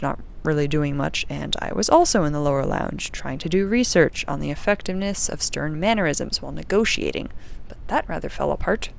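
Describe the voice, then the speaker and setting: A woman playing a sardonic, frustrated young spaceship crew member recording a personal log in an audio drama, with a dry, sweary, grumbling manner and a creaky voice. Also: sits low.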